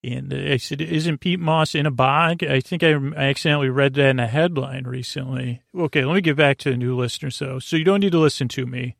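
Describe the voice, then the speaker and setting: A man hosting a bedtime podcast, rambling whimsically in a hesitant, halting way, with stammers and trailing tangents. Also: mid-range voice, gravelly, dry recording, clean studio sound. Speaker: podcast host.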